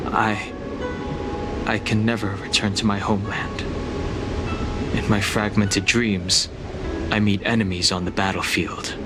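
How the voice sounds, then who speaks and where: A man playing a quiet, gentle, reserved wandering warrior in a video game, speaking in a measured way. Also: lowish voice, lightly acted. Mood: reflective. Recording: loud music under the voice.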